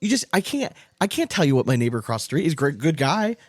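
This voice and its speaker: A man's voice: a little high, big pitch swings, quick pace, husky, no echo, clean studio sound. A man chatting casually as a podcast host, giving blunt opinions in informal language with the odd swear word.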